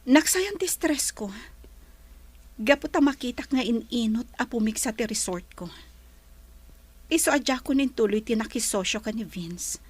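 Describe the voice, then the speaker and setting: A woman playing a kindly, sad young businesswoman in a radio play. Her voice is high and husky-breathy, recorded very dry. She speaks softly and earnestly. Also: lively intonation, moderate pace, pauses often, no room sound. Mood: stressed, sad. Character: sorrowful, kindly young lady resort owner. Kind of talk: Ilocano radio drama acting.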